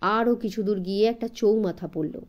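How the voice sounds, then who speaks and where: A woman narrating a suspense story from a script in an expressive way, as an audiobook reader. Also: mid-range voice, normal speed.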